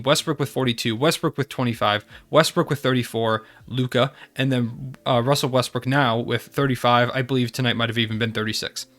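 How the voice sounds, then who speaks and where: A man's voice: mid-range voice, a bit bright. A young man hosting a basketball recap channel, talking casually and enthusiastically while stuffy from a cold. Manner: enthusiastic, fan-like. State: congested from a cold.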